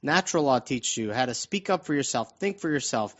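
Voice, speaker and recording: A man hosting informal talk radio in a halting way that circles back over words, on dull, lo-fi audio. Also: medium pitch, animated, fairly bright tone. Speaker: radio show host.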